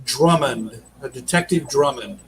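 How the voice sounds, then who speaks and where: A man's voice: mid-range voice, a little slow, crowd noise, poor audio. An older man chatting casually as a podcast guest on a video call, modest and hesitant, sounding away from the mic with faint noise. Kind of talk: casual chat.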